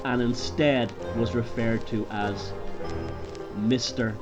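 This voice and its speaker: An Irish man tells a horror tale as its narrator. His voice is mid-pitched and dramatic, reading scripted prose with music playing behind him. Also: fairly even intonation, slow. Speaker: author and narrator.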